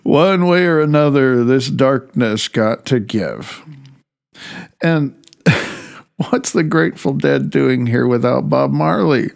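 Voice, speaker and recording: A man from the United States reading his own self-help audiobook in a wry, deliberate way with pauses. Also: mid-range voice, gravelly. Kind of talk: self-help audiobook narration with personal commentary. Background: faint music.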